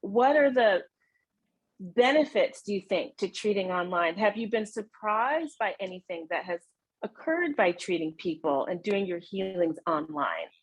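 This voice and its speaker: A woman's voice: mid-range voice, animated, unhurried, breathy edge, poor audio. Encouraging woman interviewer. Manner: encouraging, frequent murmured agreement. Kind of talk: friendly interview chat.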